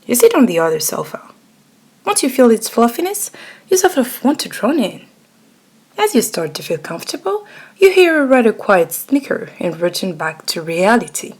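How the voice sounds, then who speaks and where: Woman reading an asmr story, soft-edged husky voice, pitch moves around a lot. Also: big pitch swings, moderate pace. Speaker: narrator and voice actor. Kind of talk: scripted ASMR story narration in second person.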